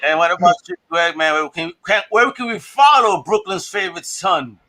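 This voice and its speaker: A man's voice: sits high, moderate pace. A man in his forties hosting a podcast, chatting casually and laughing often. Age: 40s. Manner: animated, laughing, signs off with a Spanish phrase. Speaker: podcast host. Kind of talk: casual chat.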